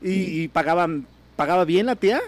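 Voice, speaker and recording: A man's voice: high voice, moderate pace. A man with a Mexican accent hosting a casual interview in irreverent, slangy, joking language. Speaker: podcast host.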